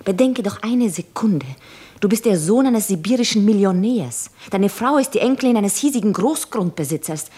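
An actress playing a clear-sighted, devoted woman who reasons insistently in a German radio play. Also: mid-range voice, lively intonation, moderate pace, dark tone. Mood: urgent.

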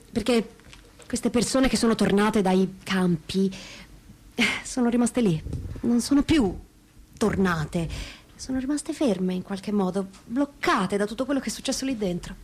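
An actress playing the grave, introspective daughter of a camp survivor in a staged testimony reading, speaking in measured, broken phrases. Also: female voice, fairly high pitch, darkish tone, husky. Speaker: reader in a staged radio reading. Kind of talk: dramatic reading of personal testimony with music.

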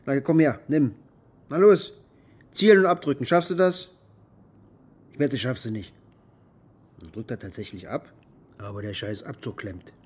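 Male, actor as boastful aggressive uncle for radio drama: husky, coarse, contemptuous, taunting. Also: mid-range voice, moderate pace, long pauses, a little mellow, lightly acted. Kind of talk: naturalistic radio drama monologue.

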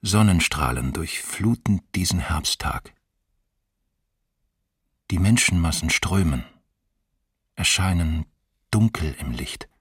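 A solemn man recites mournful verse in a radio drama. The voice is very deep and slow, set a little away from the mic in a dry space. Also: lively intonation, fairly bright tone, husky, dry recording, far from the mic, heavily acted. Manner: solemn, deliberate phrasing. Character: solemn male reciter of a mournful memorial poem. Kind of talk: poetry recitation in a radio play.

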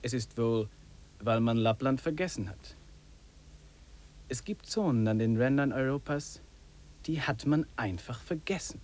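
An actor in a 1950s radio drama playing a wry, contemplative English trekker who muses and drops in English phrases. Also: male voice, medium pitch, unhurried, pauses often, a little mellow, lightly acted. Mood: reflective. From England. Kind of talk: 1950s German radio drama acting, reflective monologue and dialogue.

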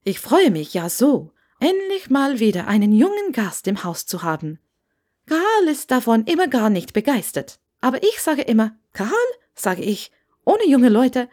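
A woman's voice: fairly high pitch, darkish tone, voice pushed up. A woman voicing a plump, grey-haired, talkative innkeeper's wife in a storybook reading, gushing and enthusiastic. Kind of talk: audiobook character dialogue.

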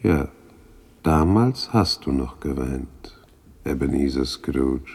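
A man voicing a grave, knowing spirit guide with a measured, solemn delivery in a 1960s German radio drama. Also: rumbling low, animated, darkish tone, breathy edge, full character voice.

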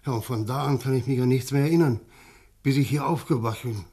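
An actor in a German radio play, playing a shaken, tipsy village man who tells of an uncanny sighting in a halting, insistent way. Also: mid-range voice, dark tone, close to an everyday voice. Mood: bewildered. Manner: halting, stammering, insistent eyewitness telling.

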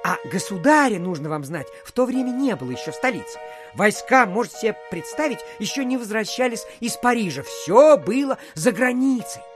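An actor playing a garrulous provincial postmaster, telling a pompous, rambling tale full of fillers and dramatic pauses in an audio play. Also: male voice, high-pitched, big pitch swings, music in the background. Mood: confiding narration. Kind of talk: theatrical storytelling in an audio play.

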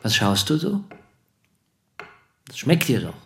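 Casting: middle-aged patriarch, mid-pitched, imperious and smug, radio drama with faint clatter behind. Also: male voice, sing-song, takes it slowly, a bit bright, a little hiss or hum, exaggerated voice. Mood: patronising. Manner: imperious, patronising. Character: domineering, self-satisfied middle-aged bourgeois father. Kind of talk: German radio drama dialogue.

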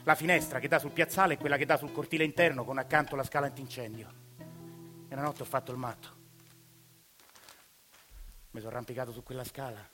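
A man's voice: medium pitch, sharp tone, close to an everyday voice. An actor playing a bitter Roman family man. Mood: wistful. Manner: bitter, sardonic storytelling, rising to outbursts. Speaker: actor in a monologue. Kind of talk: theatrical radio monologue.

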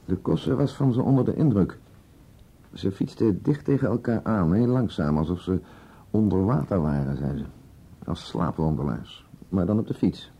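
An actor with a Netherlands Dutch accent playing a stubborn, firm and curt police commissioner in a naturalistic radio play. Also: male voice, low-pitched, animated, normal speed, creaky, dark tone, slightly rough, exaggerated voice. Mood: matter-of-fact. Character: stubborn, authoritative male police commissioner, short-tempered. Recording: muffled radio drama recording.

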